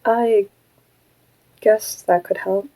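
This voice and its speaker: In a dry recording, a woman plays a shy ghost who lives in a puppet. The voice sits at a medium pitch for a woman, soft and self-conscious, with a childlike earnestness suited to an amateur audio drama. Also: steady tone, very slow, no room sound, poor audio. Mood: curious, doubtful.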